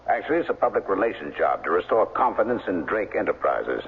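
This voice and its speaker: An actor playing a cold, domineering middle-aged crime boss in a 1970s radio drama, smooth, condescending and commanding. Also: male voice, sits low, big pitch swings, fast talker, exaggerated voice. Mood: smooth persuasion. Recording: muffled vintage 1970s radio broadcast.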